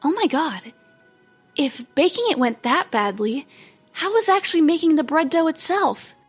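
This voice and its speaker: Woman voicing a curious young girl, incredulous, high pitch, lively. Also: normal speed, soft background music.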